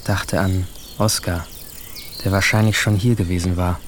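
Low-voiced brooding teenage boy, played by a man. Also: steady tone, normal speed, noisy background, lightly acted. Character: withdrawn, brooding 17-year-old boy narrating his summer. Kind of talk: literary radio drama narration. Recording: music behind the voice.

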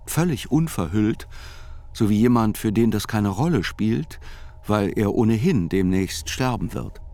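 A man reading a scripted literary chronicle as narrator, in a measured, deliberate and articulate way. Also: on the low side, lively intonation, mellow, no room sound.

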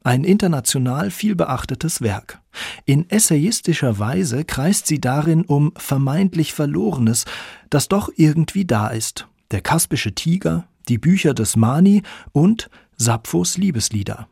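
A man presenting a radio feature in scripted narration, with a gravelly, husky voice. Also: on the high side, lively intonation, a little slow, darkish tone, dry recording, clean studio sound.

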